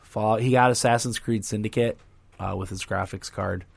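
A man hosting a casual gaming podcast, hoarse and raspy from a recently lost voice, rambling with many restarts. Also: lowish voice, fairly even intonation, normal speed, no echo. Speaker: podcast host.

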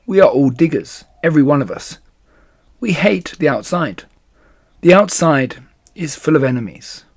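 A man voicing a confident, charismatic fox leader making persuasive speeches in a children's story. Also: mid-range voice.

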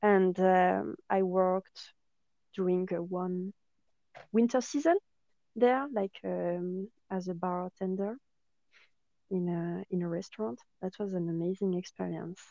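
Slow-talking French-accented woman, hesitant. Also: fairly low pitch, fairly even intonation, gravelly, mellow, no echo. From France. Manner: hesitant, many fillers and restarts. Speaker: French teacher, guest. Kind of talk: casual interview chat. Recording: dull lo-fi sound.

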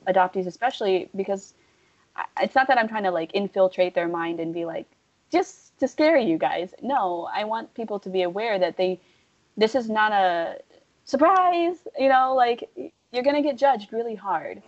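A young woman talking casually and at length, full of fillers, turning animated when she quotes other people. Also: medium pitch, normal speed. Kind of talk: casual conversation. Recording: dull lo-fi audio.

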